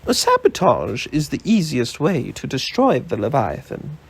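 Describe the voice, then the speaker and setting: A man reading aloud, voicing a composed woman scientist who speaks with calm authority. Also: medium pitch, a little slow, vocal fry, slightly rough, heavily acted. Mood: grave. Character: poised, sharp-minded woman scientist.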